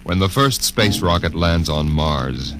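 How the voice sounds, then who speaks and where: A man's voice: deep voice, lively intonation, a little slow. A male announcer giving a grand, portentous introduction to a 1950s radio drama. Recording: old radio broadcast over loud music.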